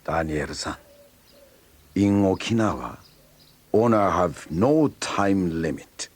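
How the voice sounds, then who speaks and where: A man's voice: sits low, expressive, takes it slowly, no echo, full character voice. A man playing an older, stoic Okinawan karate master in a read-along story, speaking terse broken English with a Japanese accent. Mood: solemn, wise.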